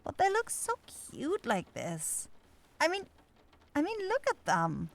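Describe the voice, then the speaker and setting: Flustered young forest witch female actor, fantasy audio roleplay. Lively swooping pitch, unhurried, dithering, kind-hearted. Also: gravelly, exaggerated voice. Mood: defensive. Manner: dithering, halting, searching for words.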